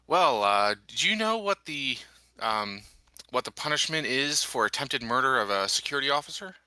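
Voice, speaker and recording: Casting: male player as sceptical, blunt game interrogator, very sharp bright tone, dry recording. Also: mid-range voice, lively intonation, normal speed, clean tone, no echo, pitched above the performer's own voice. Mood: stern. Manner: blunt, sardonic questioning.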